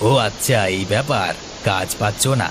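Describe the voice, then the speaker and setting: A man voicing a brisk, friendly boss who hires day labourers, talking colloquially in an animated folk tale. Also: fairly low pitch, background noise, natural voice. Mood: brisk, businesslike. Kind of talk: animated moral fairy tale dubbing.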